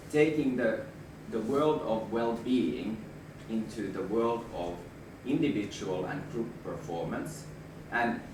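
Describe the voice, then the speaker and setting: Hoarse Finnish man presenting in a roomy hall. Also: medium pitch. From Finland. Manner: halting, restarts words, then rises in emphasis. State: hoarse with a flu. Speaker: management consultant presenter. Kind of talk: presentation to an audience. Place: room with an audience. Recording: roomy, distant mic with background noise.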